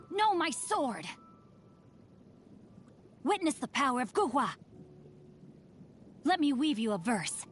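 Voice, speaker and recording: A woman's voice: sits high, fairly bright tone, heavily acted. A woman voicing a refined, earnest young scholar-swordsman in a video game, speaking in formal, polished phrases. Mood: battle-ready. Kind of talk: scripted video game character voice lines.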